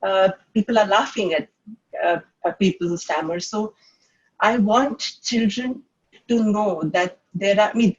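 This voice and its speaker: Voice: mid-range voice, a little slow, breathy edge, highs cut off. An adult children's author who stammers, answering at a panel with frequent repeated sounds and hesitations.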